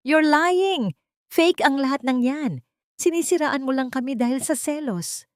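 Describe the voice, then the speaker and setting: Woman acting out a panicked, furious cheating husband, raised pitch. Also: measured pace, no echo, clean studio sound. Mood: panicked denial.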